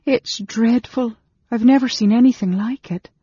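Man putting on a high, sobbing young servant girl. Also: moderate pace, dark tone, husky, no echo, muffled recording, exaggerated voice. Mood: sobbing, distraught. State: gasping, tearful.

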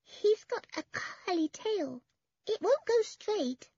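A woman voicing a sweet five-year-old farm boy in a children's storybook, with a childish piping voice and simple, drawn-out words. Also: very high-pitched, lively intonation, darkish tone, no room sound, lo-fi sound, voice pushed up, full character voice. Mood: earnest, matter-of-fact.

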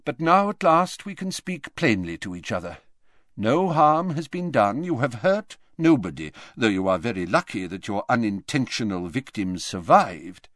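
A man voicing an old, weakened headmaster wizard who speaks lightly and conversationally, sometimes mumbling softly. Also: medium pitch, sing-song, moderate pace, no echo, studio quality. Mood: gentle, pleading. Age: older.

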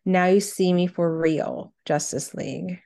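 A woman with a slightly low voice co-hosts a casual countdown show. She reads out each entry plainly, then drifts into conversational reminiscing. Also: fairly even intonation, unhurried, dark tone. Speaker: co-host of a cartoon countdown show. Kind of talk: casual chat, reading out countdown entries.

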